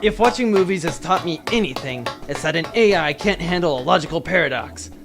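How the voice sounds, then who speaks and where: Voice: mid-range voice, expressive, brisk, close to an everyday voice. An actor playing a cocky, overconfident starship commander in a comedic audio drama. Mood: determined. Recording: loud music under the voice.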